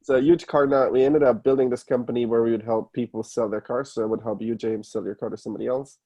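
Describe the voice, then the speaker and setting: A man's voice: mid-range voice, fairly even intonation, fast talker, mellow. A man from Germany with a German accent, a guest explaining things step by step in casual interview talk. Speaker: podcast guest, startup founder. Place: remote call.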